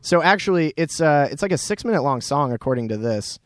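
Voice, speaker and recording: A man's voice: on the high side, animated, no room sound, studio quality. A young man hosting a college radio show, chatting casually and rambling with lots of fillers. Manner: quick, rambling, filler-heavy. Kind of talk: casual on-air chat. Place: radio studio. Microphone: studio mic.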